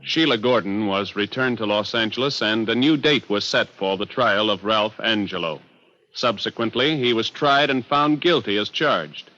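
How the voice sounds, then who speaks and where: A man's voice: fairly low pitch, normal speed, bright, forceful tone, dry recording. An American man announcing from a script in formal, authoritative 1950s radio style. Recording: muffled old radio broadcast, faint music.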